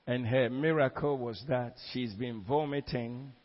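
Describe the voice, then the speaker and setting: A man's voice: mid-range voice, a little slow. A man preaching with fervent, exclamatory energy, often repeating his words. His voice sits in an ordinary middle range, and the recording sounds dull and lo-fi. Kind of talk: sermon testimony.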